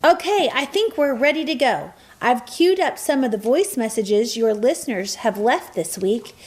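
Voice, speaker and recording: A woman playing a brisk, firm mother who gives instructions as producer in a family radio play. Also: fairly high pitch, lively intonation, natural voice. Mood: encouraging, businesslike.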